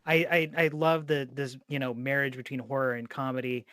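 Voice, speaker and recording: A man's voice: mid-range voice, little pitch movement, fairly quick, a bit bright, dry recording, clean studio sound. A man hosting a podcast in a casual, informal way, with plenty of swearing. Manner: informal, profanity-laced. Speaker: podcast host and interviewer.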